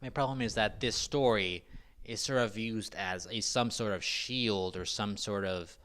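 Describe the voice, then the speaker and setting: A man's voice: lowish voice, a little slow, a bit bright. A man co-hosting a movie podcast, skeptical and analytical as he argues his point. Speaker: podcast co-host. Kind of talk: casual movie critique chat.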